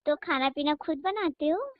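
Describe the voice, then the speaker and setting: A woman's voice: high voice, animated, normal speed, dry recording. A woman playing a lonely, flirtatious married housewife, coaxing and suggestive in a casual staged phone conversation. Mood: curious, probing. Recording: dull, lo-fi, dry call-style recording.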